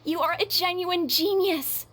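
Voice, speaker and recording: A woman's voice: extremely high pitch, a little slow, fairly bright tone. A woman voicing a supportive, admiring young classmate in an anime video game, earnest and encouraging.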